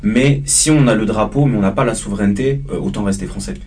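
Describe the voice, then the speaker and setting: A man's voice: on the low side, fast, a little mellow, recorded from a distance, slight background noise. A man in his twenties from the Paris area, a student guest who speaks hesitantly with many fillers and restarts. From Paris region. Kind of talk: debate discussion.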